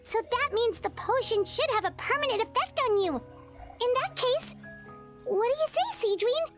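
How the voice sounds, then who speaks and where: A woman voicing a small, cute, childlike fairy companion in an anime video game, chirpy and excitable. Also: extremely high pitch, moderate pace, over music. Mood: eager. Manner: refers to self in third person, chirpy.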